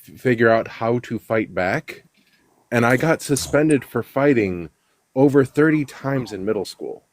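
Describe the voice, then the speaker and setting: A man's voice: medium pitch, lively intonation, a little slow. A man with a Chicago accent, Russian his first language, telling stories as a guest in casual talk, full of fillers and restarts. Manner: rambling storyteller, many fillers and restarts. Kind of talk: casual conversation.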